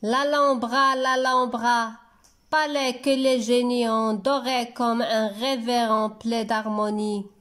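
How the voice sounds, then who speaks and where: Very slow, high-voiced woman reciting poetry. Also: flat delivery, a bit bright. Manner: measured, deliberate, phrase by phrase. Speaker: teacher reading a poem for pupils. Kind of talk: slow poetry recitation for dictation and reading lesson.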